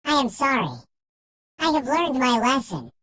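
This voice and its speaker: A woman's voice: high-pitched, very animated, measured pace, a bit bright, no room sound, full character voice. A woman voicing a bored, mischievous young village shepherd boy in a children's storybook. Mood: remorseful.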